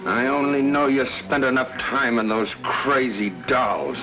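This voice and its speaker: A man's voice: mid-range voice, measured pace, dull audio, lightly acted. An actor playing a stern, imperious, suspicious man who questions accusingly in an old-time radio drama. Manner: haughty, accusing. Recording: old radio broadcast with music.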